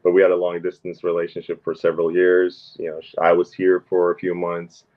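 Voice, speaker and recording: A man's voice: sits low, monotone, fairly quick. Rambling Norwegian-born man, dull audio. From Norway. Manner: rambling, many fillers and restarts. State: short on sleep. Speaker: NFT artist guest. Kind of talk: casual podcast chat. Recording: dull lo-fi sound.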